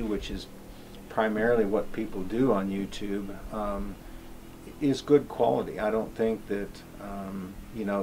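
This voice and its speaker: A man's voice: on the low side, a little mellow, slight background noise. A middle-aged man talking casually to camera on an outdoor cabin porch, rambling with many ums and uhs. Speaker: homestead YouTuber.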